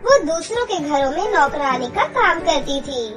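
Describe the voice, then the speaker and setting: A woman's voice: high-pitched, animated, moderate pace. A woman reading scripted narration for a children's story. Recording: clear music behind the voice. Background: children's music.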